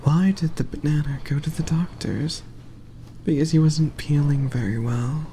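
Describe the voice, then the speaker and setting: A man reading aloud in a soft ASMR bedtime style, hushed and often slipping into a whisper. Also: a little high, normal speed, slight background noise.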